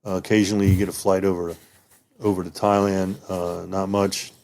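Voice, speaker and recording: A man's voice: sits low, little pitch movement, a little fast, vocal fry, darkish tone. A man in his fifties, a native German speaker, reminiscing as a retired military guest in a rambling way with many restarts. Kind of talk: casual interview reminiscing.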